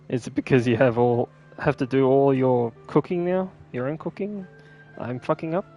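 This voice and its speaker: An informal video game streamer reads dialogue aloud and adds casual comments. The pitch is middling, and faint game music plays behind the voice. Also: moderate pace, lo-fi sound.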